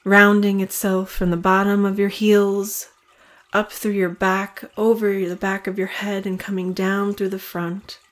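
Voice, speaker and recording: A woman leading a guided meditation, speaking in a soothing manner with long pauses between phrases. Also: medium pitch, flat delivery. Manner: slow, soothing, evenly paced with long pauses.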